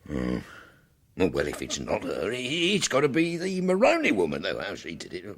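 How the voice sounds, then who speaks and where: An actor playing a baffled, blustering British police inspector in a radio drama, stammering and muttering. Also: male voice, low-pitched, huge pitch swings, moderate pace, heavily acted. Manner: stammering, muttering, bursts of emphasis. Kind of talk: full-cast radio drama acting.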